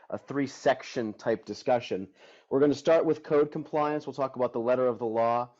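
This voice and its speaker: Hoarse, tired man presenting a webinar. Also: medium pitch, muffled recording. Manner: energetic, casual, many fillers. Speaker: product development director presenting a webinar.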